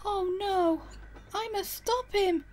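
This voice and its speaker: A woman's voice: very high voice, expressive, darkish tone, heavily acted. A woman voicing a polite young female steam engine in a children's railway story. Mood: worried. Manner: cheery, slow-paced.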